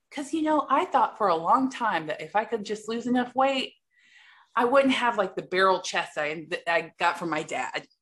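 A woman's voice: mid-range voice, a bit bright. A woman with a big voice, sharing openly and bluntly in a support group over a video call, swearing freely. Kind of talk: candid personal share in a group meeting.

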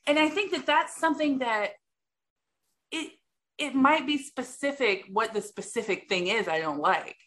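A woman's voice: mid-range voice, a bit bright. A woman with a big voice, sharing openly and bluntly in a support group over a video call, swearing freely. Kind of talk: candid personal share in a group meeting.

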